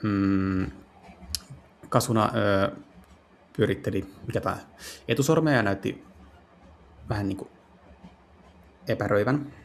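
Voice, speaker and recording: A man doing a casual let's play, translating as he goes and haltingly searching for words. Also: on the low side, slight background noise. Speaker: streamer playing and translating visual novels.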